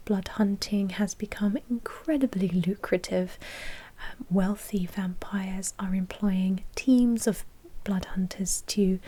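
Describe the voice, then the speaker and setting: A woman's voice: medium pitch, mellow, breathy edge. A woman playing a polite, businesslike vampire blood bank manager in a whispered ASMR roleplay, explaining procedures in a helpful, officious way. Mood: informative, encouraging.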